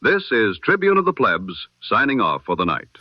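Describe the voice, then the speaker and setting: A man presenting a scripted news bulletin in 1920s radio style, with a formal, measured, solemn delivery. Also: on the low side, wildly swooping pitch, no room sound, dull audio.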